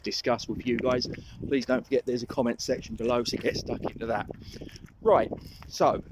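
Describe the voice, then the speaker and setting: A man's voice: mid-range voice, slight background noise. A man with a London accent hosting a fan channel, talking in a rambling, self-correcting way while out walking his dog. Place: outdoors on a dog walk.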